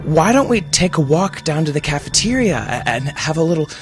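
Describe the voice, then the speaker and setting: A man's voice: on the high side, big pitch swings, fairly quick, loud music behind the voice, voice pushed up. A man voicing an anxious doctor in a horror story, with a hesitant, placating manner. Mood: nervous, coaxing. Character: anxious adult male doctor coaxing a child. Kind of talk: horror audio storybook character.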